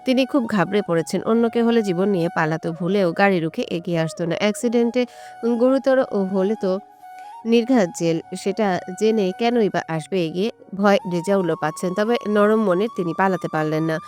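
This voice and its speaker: A woman's voice: mid-range voice, normal speed, a little mellow. A woman reading a scripted story as a dramatic narrator. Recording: music bed under the voice. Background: background music.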